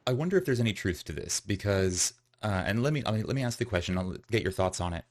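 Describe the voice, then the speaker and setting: A man hosting a podcast, talking in a relaxed, friendly way with many fillers. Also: lowish voice, quick pace. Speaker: podcast host. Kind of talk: casual interview chat.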